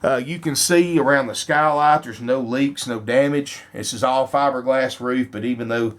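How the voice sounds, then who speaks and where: A man's voice: medium pitch, moderate pace. Folksy RV salesman with a cold. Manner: folksy, keeps saying "folks". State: has a cold. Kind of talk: walkthrough sales pitch. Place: inside a motorhome.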